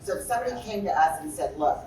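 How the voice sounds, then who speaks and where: A woman's voice: deep voice, lively intonation, rapid-fire, mellow, echoey room, far from the mic, a little hiss or hum, rough recording. A woman interior designer on a trade-show panel, speaking forthrightly in a big voice with many restarts.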